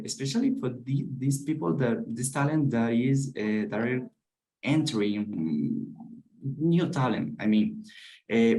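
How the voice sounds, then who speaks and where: Male panelist, average pitch, restarts his sentences as he gathers his thoughts. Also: darkish tone, low-quality recording. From Venezuela. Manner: halting, searches for words, repeats himself. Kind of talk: online panel discussion. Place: office in Ecuador.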